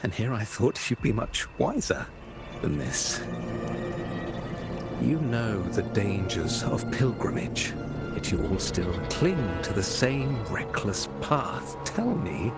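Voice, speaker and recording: Low voiced game villain, preachy zealot, condescending and theatrical, big swings, loud music behind. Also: male voice, big pitch swings, slow, full character voice. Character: scornful, domineering male zealot villain of a sea order. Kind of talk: video game voice acting.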